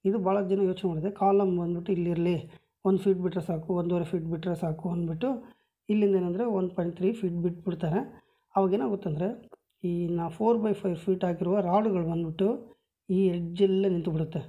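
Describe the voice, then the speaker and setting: A woman's voice: on the low side, steady tone, quick pace, narrow, tinny audio. A woman explaining a house floor plan step by step, pointing with a pen at paper drawings on a table, recorded on a phone held over the page. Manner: plain step-by-step explanation, many measurements.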